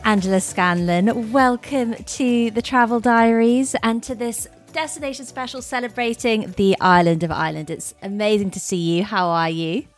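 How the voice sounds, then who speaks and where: A woman's voice: a little high, normal speed, few pauses. A woman hosting a travel podcast, reading a polished intro and then interviewing her guest. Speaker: podcast host. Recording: faint music behind.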